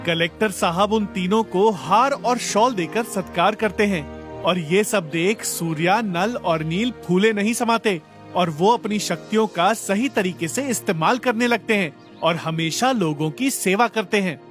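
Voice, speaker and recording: A man's voice: high voice, a little slow, fairly bright tone, soft background music. A man narrating a scripted moral story for children as a storyteller.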